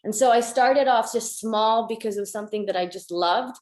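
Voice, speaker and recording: A woman's voice: fairly high pitch, brisk, a little mellow, reverberant, a little hiss or hum. A woman from Tanzania in her thirties, a conservation photographer, telling her story in a chatty way with many restarts. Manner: chatty, restarts often, laughs. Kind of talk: casual interview answers.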